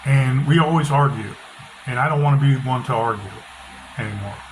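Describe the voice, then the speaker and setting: A middle-aged author talking casually with a loud, bass-heavy voice, blunt and opinionated, often repeating himself. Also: male voice, fairly quick. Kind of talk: casual monologue. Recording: noisy background hiss.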